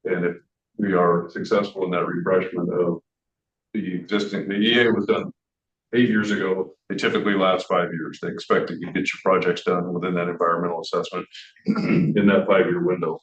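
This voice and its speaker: A man's voice: low voice, flat delivery, a little fast. A man on staff presenting a memo at a public board meeting, talking loosely and conversationally as he goes off the written memo. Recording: roomy, dull meeting-room audio.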